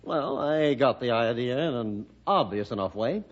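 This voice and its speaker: Man acting a defensive, animated American cabin owner. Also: medium pitch, moderate pace, natural voice. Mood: pleased. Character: defensive male tourist cabin owner, ex-rodeo roper. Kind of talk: 1960s radio drama acting. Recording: dull vintage radio recording.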